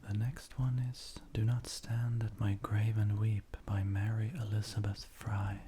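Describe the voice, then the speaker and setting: A man with a soft, breathy, whispering voice, reading poetry gently in an unhurried, measured ASMR style. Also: on the low side, level tone. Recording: faint background noise.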